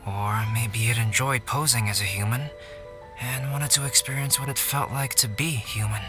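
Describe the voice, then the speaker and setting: An actor playing a calm, analytical young man in a video game cutscene, speaking in a measured, articulate and formal way. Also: mid-range voice, over music, close to an everyday voice.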